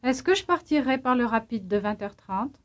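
A woman acting as a language instructor, reading out scripted drill questions in a clear, measured way. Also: a little high, lively intonation. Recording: dull lo-fi language tape.

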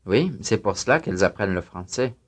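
A man reading scripted student answers and exercise announcements in a language drill, careful and even. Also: on the low side, fairly quick.

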